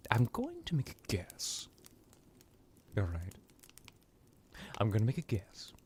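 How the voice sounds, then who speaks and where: A man playing a smug, powerful vampire lord in an ASMR roleplay, talking in a halting, boastful, condescending and mock-polite way. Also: fairly low pitch, huge pitch swings, darkish tone, a little hiss or hum, heavily acted. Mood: smug, suspicious.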